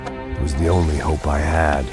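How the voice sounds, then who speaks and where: Gravelly, cynical burnt-out ex-cop. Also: male voice, very deep voice, flat delivery, moderate pace. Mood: weary, sardonic. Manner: dry, sardonic, world-weary. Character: weary hard-drinking male ex-cop bodyguard, cynical. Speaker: narrator and lead character. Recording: game cutscene with loud music score.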